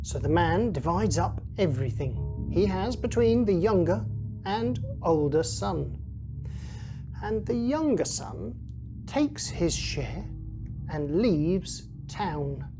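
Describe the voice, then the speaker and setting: A woman's voice: lowish voice, animated, takes it slowly. A woman reading a children's Bible story aloud as a scripted narration for young listeners. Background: music.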